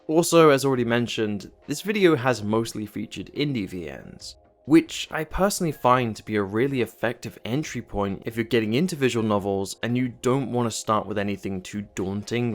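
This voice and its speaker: A man narrating a scripted video essay in an earnest, emphatic and casual way, as the host of his channel. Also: fairly low pitch.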